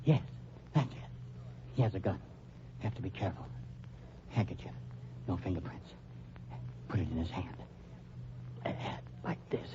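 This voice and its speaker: An actor playing a timid, flustered husband suspected of murder, in a hesitant, stammering, fussy way, in an old-time radio mystery. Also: male voice, low voice, animated, moderate pace, pauses often. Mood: muttering, calculating. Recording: dull, noisy old radio recording.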